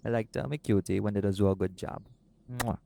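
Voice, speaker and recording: A man voicing a doting, laid-back seagull shifter boyfriend in an intimate audio roleplay, speaking softly and affectionately. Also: on the low side, steady tone, brisk, gravelly, very noisy. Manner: soft-spoken, affectionate, creole-inflected speech.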